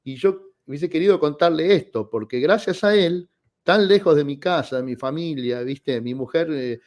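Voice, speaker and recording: A man in his forties with an Argentine accent, a guest telling personal anecdotes in an expressive, conversational radio interview style. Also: a little high, moderate pace. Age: 40s. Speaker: guest, tenor singer.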